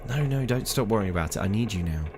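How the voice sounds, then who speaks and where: A man's voice: on the low side, animated, fast talker, noisy background. A man streaming a mystery game, talking casually to his chat and joking at his own expense. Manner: chatty, talks to his chat, self-mocking. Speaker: let's play streamer. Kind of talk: casual gameplay commentary.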